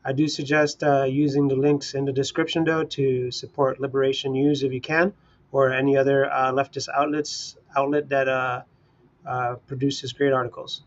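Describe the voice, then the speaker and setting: A man's voice: mid-range voice, flat delivery, moderate pace, highs cut off. A man hosting a livestream read-along, speaking in an informal, unpolished amateur way. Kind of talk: casual livestream talk and reading articles aloud.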